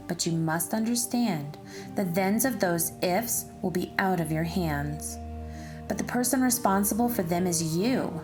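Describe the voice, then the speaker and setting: A woman reads aloud for young listeners at a slow pace. Her delivery is expressive and deliberate, like a teacher guiding children through a storybook. Music plays behind her voice. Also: lowish voice.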